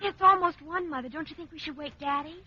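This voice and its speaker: Eager, quick, high-voiced teenage daughter. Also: female voice, bright, forceful tone, faint music behind. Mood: dutiful. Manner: earnest, polite. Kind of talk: 1950s American radio sitcom acting. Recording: muffled, noisy old radio recording.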